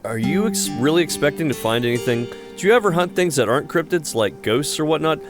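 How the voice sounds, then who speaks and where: A man narrating a horror visual novel aloud as a host, with expressive storytelling. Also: a little high, quick pace. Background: background music with guitar.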